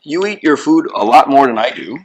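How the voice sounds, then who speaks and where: A man's voice: medium pitch, expressive, brisk. A man in his fifties, from Canada, chatting casually as a dinner guest with short, agreeable replies. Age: 50s. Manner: easygoing, brief agreeable replies. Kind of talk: casual chat over a meal.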